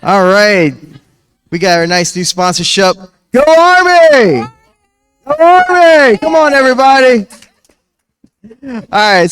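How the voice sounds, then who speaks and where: Male event host, high soft voice, smooth and close-miked, playful hype. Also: no echo. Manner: chatty, teasing, hype-building. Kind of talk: casual live event hosting.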